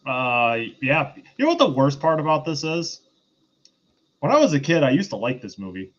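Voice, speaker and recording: A man's voice: fairly high pitch, big pitch swings, normal speed, fairly bright tone. Male review panelist, slightly dull lo-fi audio. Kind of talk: casual review chat.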